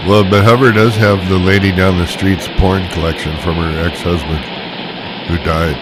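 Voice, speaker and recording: A man with a deep voice tells stories in a loose, wry, conversational way. He is heard over CB radio, with clear music in the background. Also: fairly even intonation, moderate pace, low-quality recording. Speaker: CB radio operator. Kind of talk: casual chat, storytelling. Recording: CB radio audio with background sound.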